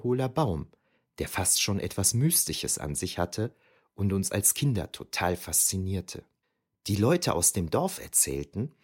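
A man's voice: low voice, a little mellow, slightly rough, heavily acted. A man playing a reflective ancient historian who narrates memories of youth in a scripted, wryly explanatory way for an audio drama. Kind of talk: scripted first-person narration.

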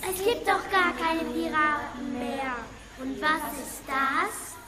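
A young-sounding female performer playing an anxious stranded schoolchild in an amateur radio play, slow and questioning, in a reverberant recording. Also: sits high, over a noisy crowd. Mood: alarmed, anxious. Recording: reverberant hall with faint noise.

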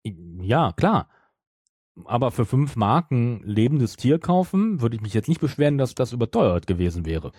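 Husky, lively man chatting as podcast host. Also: medium pitch, normal speed, dry recording, clean studio sound. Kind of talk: casual chat.

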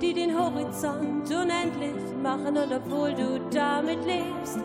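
A woman singing a slow ballad with musical accompaniment. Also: high voice, fairly even intonation. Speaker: singer. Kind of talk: slow sung German ballad. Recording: reverberant song recording with loud music.

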